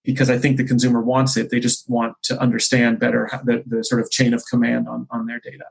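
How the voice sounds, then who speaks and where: Husky, gravelly man talking business. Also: mid-range voice, completely flat delivery, quick pace. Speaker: podcast guest, marketing executive. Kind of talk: conversational business discussion.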